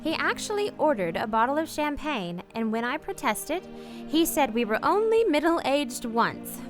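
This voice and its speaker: A woman's voice: sits high, very animated, moderate pace, music in the background. An actress in a radio play playing an anguished, guilt-ridden married woman, genteel and emotional in manner. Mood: wistful confession. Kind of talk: radio play acting and narration.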